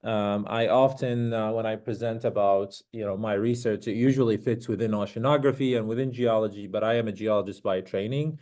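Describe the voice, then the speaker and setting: A man from Iraq, giving an academic seminar talk over a video call in an earnest, conversational way, pausing to search for words. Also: lowish voice, steady tone, darkish tone. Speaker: guest speaker.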